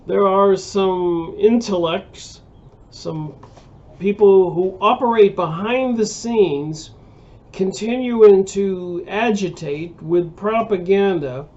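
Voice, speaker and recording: A man's voice: high-pitched, slow, darkish tone, lo-fi sound. An American man in his sixties, hosting a book review channel, talks in a casual, rambling monologue full of fillers and restarts. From United States. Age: 60s.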